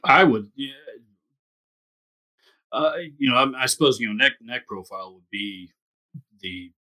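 A man talking as a guest about guitars, halting and full of filler words. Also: mid-range voice, lively intonation, pushed and bright, low-quality recording. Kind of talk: casual podcast chat about guitars.

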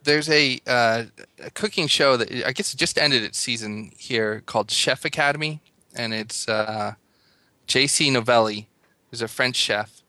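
American host, rambling casual talker, crisp and dry. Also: male voice, mid-range voice, unhurried, pushed and bright, no room sound. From United States. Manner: rambling, full of fillers. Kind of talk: casual podcast chat.

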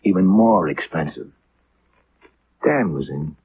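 A boozy, cynical reporter from an old radio play. His low voice swings up and down as he talks knowingly in clipped phrases. It comes through a thin, narrow-band vintage recording. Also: animated, slow pace, a little hiss or hum. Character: tipsy, cynical, talkative male small-town newspaper reporter. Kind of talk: 1950s radio drama acting.

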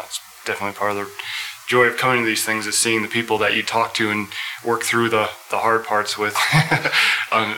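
A man's voice: lowish voice, pushed and bright. A man hosting a casual live panel at a convention, recorded in a noisy room. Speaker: host.

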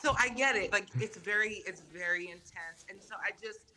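A woman raised in Samoa, Samoan her first language, chatting as a podcast guest in a lively, emphatic way. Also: medium pitch, normal speed, slight background noise, rough recording. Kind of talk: casual podcast chat.

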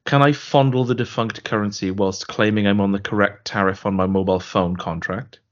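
A man co-hosting a podcast, chatting casually and reading out a listener email with a dry, deadpan delivery. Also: fairly low pitch, fairly quick. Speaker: podcast co-host. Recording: dull lo-fi podcast audio.

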